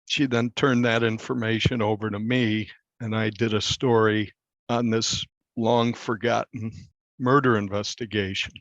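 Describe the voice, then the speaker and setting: An American man in his sixties, a newspaper reporter, speaking conversationally and earnestly in an interview, in measured phrases. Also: lowish voice, flat delivery, fairly bright tone, no echo, dull audio. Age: 60s.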